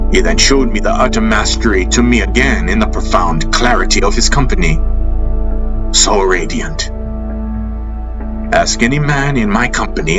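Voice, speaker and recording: A man narrating a fantasy audiobook in a solemn, reverent, deliberate dramatic style, as a first-person storyteller. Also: lowish voice. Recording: narration over a music soundtrack. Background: prominent music.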